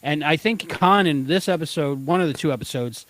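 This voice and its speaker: Higher-voiced man chatting casually, dry close sound. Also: a little fast, a bit bright, no room sound, rough recording. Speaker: podcast co-host. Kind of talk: casual fan discussion.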